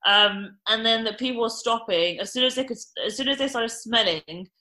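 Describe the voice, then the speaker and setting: Woman, 30s, restaurant-owner guest. Bright tone, animated storytelling, loose with fillers. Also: a little high, normal speed. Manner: animated anecdote-telling with many fillers. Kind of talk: podcast interview storytelling.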